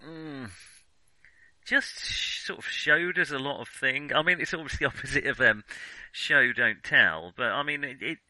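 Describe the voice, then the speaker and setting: A man in a casual film discussion, talking in a halting way and often correcting himself mid-sentence. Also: medium pitch, pushed and bright, no room sound, rough recording. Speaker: film podcast co-host.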